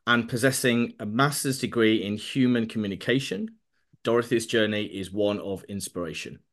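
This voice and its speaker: A man hosting an interview podcast, reading a polished introduction and then asking questions with many restarts and fillers. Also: mid-range voice. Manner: hesitant, many restarts and fillers when unscripted. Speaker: podcast host.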